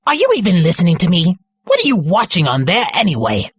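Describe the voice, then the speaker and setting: A man's voice: high-pitched, huge pitch swings, brisk, a bit bright, slightly rough, no room sound, studio quality, heavily acted. A man voicing a fussy, exasperated goblin villain who scolds, in a cartoon fandub. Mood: scolding. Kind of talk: animated comic fandub acting. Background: faint music.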